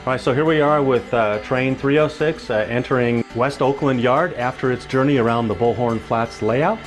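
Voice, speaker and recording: A man's voice: medium pitch, normal speed, faint music behind. A man hosting a casual, explanatory tour of a hobby layout. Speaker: host giving a tour of a model railroad layout.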